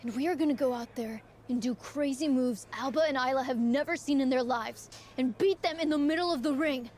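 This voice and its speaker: A woman's voice: high voice, brisk, darkish tone, faint music behind, close to an everyday voice. An actress playing a plucky, principled young female pro wrestler in a video game cutscene, earnest, emphatic and colloquial. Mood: firm, rousing.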